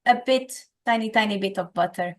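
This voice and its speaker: A woman from Portugal with a Portuguese accent, explaining a recipe step by step in a calm, patient way. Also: medium pitch, brisk. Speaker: guest instructor. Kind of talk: casual recipe instruction.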